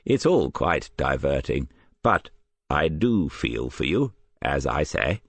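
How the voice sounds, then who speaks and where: A man's voice: rumbling low, very animated, slow, breathy edge, no echo, full character voice. A man playing a courteous, arch elderly Englishman. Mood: genteel, chatty.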